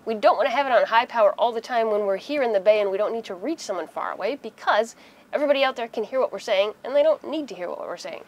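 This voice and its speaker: A woman's voice: on the high side, animated, fast talker, highs cut off. A woman in her thirties giving a casual, conversational how-to explanation inside a small boat cabin, using everyday examples. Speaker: host of a sailing how-to video.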